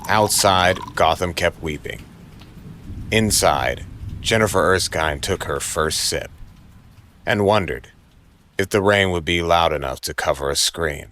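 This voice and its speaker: Deep brooding male noir narrator. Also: measured pace, bright, forceful tone, slight background noise. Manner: brooding, dramatic, clipped phrases. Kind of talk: noir audio drama narration.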